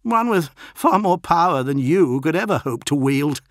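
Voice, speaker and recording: A man's voice: on the high side, lively intonation, normal speed, dry recording, clean studio sound, voice pushed up, lightly acted. A man voicing an arrogant young warrior acolyte in a science fiction audiobook, insolent and taunting with fanatical belief. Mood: mocking.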